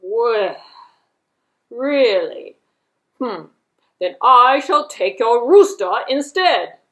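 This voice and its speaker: A woman performs a sly puppet-show fox, a male trickster with courtly manners and a firm will. He speaks formally and emphatically, drawing out his orders slowly, with big swings in pitch. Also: lively intonation, fairly bright tone, full character voice. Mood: stern.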